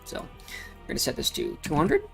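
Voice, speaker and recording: A man's voice: normal speed, fairly bright tone. A man casually talking through crypto charts on a livestream, rambling with frequent fillers. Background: music playing.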